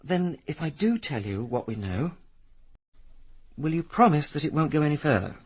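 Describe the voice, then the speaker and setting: An actor playing a sardonic, impatient upper-class young Englishman in a British radio drama, with a gravelly, husky voice. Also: fairly high pitch, big pitch swings, moderate pace. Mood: pleading. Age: young adult. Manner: sardonic, articulate, dismissive.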